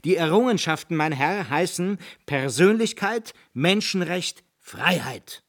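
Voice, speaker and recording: An actor playing an eloquent Italian humanist idealist, courteous yet theatrically rhetorical, in a radio drama. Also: male voice, a little high, animated, measured pace, a bit bright. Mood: indignant, impassioned. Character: high-minded humanist Italian gentleman, eloquent idealist debater. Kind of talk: German radio drama acting.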